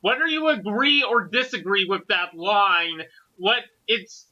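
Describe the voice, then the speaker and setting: A man's voice: sits high, unhurried, pushed and bright, muffled recording. A man giving casual commentary as part of a group discussion.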